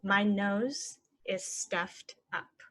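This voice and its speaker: A woman teaching English phrases, saying each one and then repeating it slowly and clearly for learners. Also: mid-range voice, fairly even intonation, fairly bright tone. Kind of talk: slow phrase-by-phrase language lesson.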